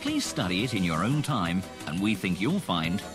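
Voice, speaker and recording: A man's voice: low voice, big pitch swings, normal speed. A man with a British accent playing a polished, friendly presenter in a scripted promotional narration. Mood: informative. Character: polished, friendly male presenter of a language-course advertisement. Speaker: advertisement narrator and interviewer. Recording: music bed, 1980s cassette advert. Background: music.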